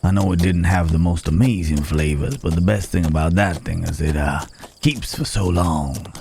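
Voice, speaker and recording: A man playing a rugged, wandering cowboy stranger in an intimate ASMR roleplay, with folksy old-West phrasing, a low gravelly voice and hesitant pauses, gently teasing and caring. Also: a little hiss or hum. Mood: teasing, good-humored. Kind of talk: ASMR roleplay, intimate acted monologue to the listener.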